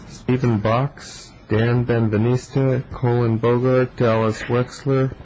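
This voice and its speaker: Man, meeting chair, very slow and unhurried, faint room hum, distant mic. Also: mid-range voice, a little mellow. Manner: slow, unhurried, reads names. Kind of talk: calling speakers at council meeting. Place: city council chamber.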